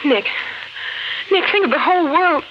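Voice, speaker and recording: An actress playing an anxious, caring wife in a 1950s radio drama, earnest and worried. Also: female voice, very high-pitched, animated, moderate pace, lo-fi sound. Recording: noisy, crackling 1950s radio recording.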